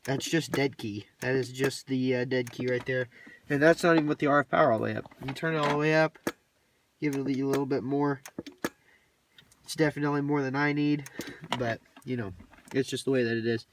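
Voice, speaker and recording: A man's voice: medium pitch, fairly even intonation, a little fast. A man giving a casual, hands-on walkthrough in a plainspoken, folksy way with colloquial grammar. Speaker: hobbyist presenter demonstrating his radio gear. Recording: distant mic, light background noise, clicks.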